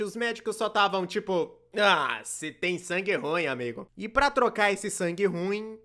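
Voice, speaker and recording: A man with a Brazilian accent doing scripted comedic narration for an animated video in an informal, playfully exasperated manner. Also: high-pitched.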